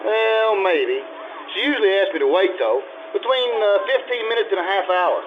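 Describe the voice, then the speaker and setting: A man's voice: high-pitched, lively intonation, normal speed, narrow, tinny audio, background noise. A man playing a chatty, helpful chauffeur in a stage recreation of a 1950s radio drama, rambling and hesitant with many fillers. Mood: eager.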